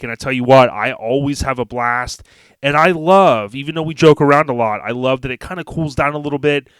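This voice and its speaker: A man's voice: medium pitch, fairly bright tone, smooth, no echo. A man hosting a podcast in a casual, folksy, slangy way, mixing chat with sponsor reads. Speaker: podcast host.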